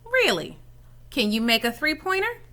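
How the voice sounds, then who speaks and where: Woman reading as an eager, bright-voiced young boy. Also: high-pitched, sing-song, normal speed, heavily acted. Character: eager fourth-grade boy keen on basketball.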